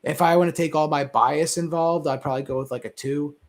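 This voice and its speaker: A man's voice: on the high side, fairly even intonation, a little fast. A man on a movie discussion panel, giving opinions casually and conversationally, often rephrasing himself as he goes.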